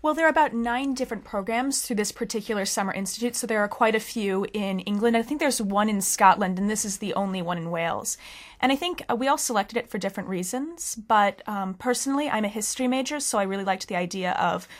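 A young woman from Missouri speaks quickly and fluently as an exchange student on a radio show. Her answers are articulate and well organized. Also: medium pitch. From Missouri, United States. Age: young adult.

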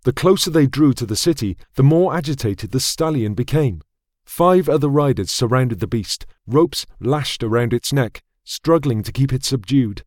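A man narrating a fantasy audiobook in a measured, dramatic storytelling manner on a close studio mic. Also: mid-range voice, lively intonation, a little mellow, no room sound.

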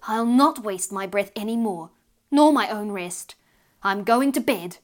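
A woman voicing a cross, weary mother who scolds her child in a sharp, stiff voice. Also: on the high side, lively intonation, normal speed, lightly acted. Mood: scolding.

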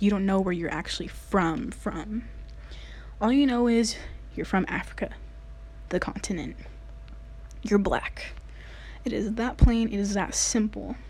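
A woman's voice: mid-range voice, darkish tone, slight background noise. A woman in her late teens from the United States, earnestly telling a personal story in a casual way, with many "like" fillers. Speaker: vlogger.

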